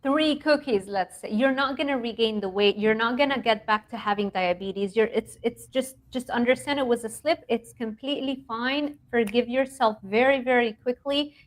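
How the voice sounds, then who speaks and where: An animated woman from Lebanon in her thirties talks through a video call. She speaks casually, laughs often, and restarts her sentences as she goes. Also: fairly high pitch, normal speed, hardly any pauses. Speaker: guest nutrition researcher. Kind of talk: casual interview talk.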